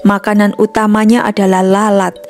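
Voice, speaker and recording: A woman's voice: mid-range voice, flat delivery, normal speed, a little mellow, natural voice. A woman voicing a determined elderly grandfather professor in a children's storybook. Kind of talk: storybook character voice. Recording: music behind the voice.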